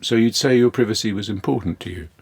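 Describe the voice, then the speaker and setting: An actor playing a measured, probing therapist in an audio drama, asking leading questions. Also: male voice, fairly low pitch, vocal fry, husky.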